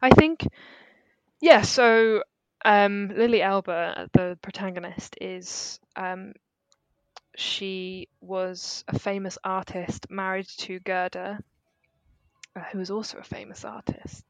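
A woman's voice: mid-range voice, steady tone, no echo, low-quality recording. Chatty female podcast co-host. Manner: chatty, emphatic, quick to laugh. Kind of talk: casual film discussion.

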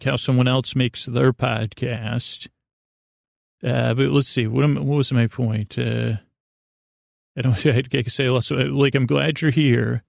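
A man hosting a bedtime podcast in a halting, meandering, whimsical monologue, with a creaky voice and frequent self-interruptions. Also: mid-range voice, a little mellow, dry recording, studio quality. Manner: silly, absurdly whimsical. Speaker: podcast host. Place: small closet, close and dry.

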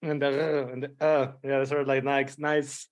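A man's voice: mid-range voice, little pitch movement, normal speed. A man in his forties from Mexico and Israel with a Spanish accent, talking casually as a podcast guest. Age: 40s. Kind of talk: casual interview conversation.

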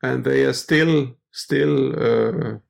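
Middle-aged man from Austria, German native speaker, halting, very gravelly, husky. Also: medium pitch. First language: Styrian Austrian German. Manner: halting, restarting, laughs often. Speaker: guest musician. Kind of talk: casual interview.

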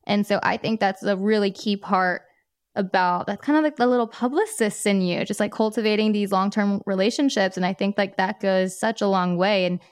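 Casting note: a woman host and publicist, chatty and affirming, smooth voice, dry close sound. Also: mid-range voice, moderate pace, dry recording. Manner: chatty, affirming, laughs easily. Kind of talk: casual interview.

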